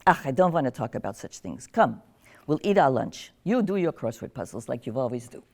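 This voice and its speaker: A woman's voice: very deep voice, sing-song, a little fast, darkish tone, full character voice. Lady doing an old granny in a nursing home, teasing, chatty, voice goes up and down a lot. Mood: fearful. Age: older. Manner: gossipy, teasing, drifting into confusion. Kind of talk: one-woman stage monologue.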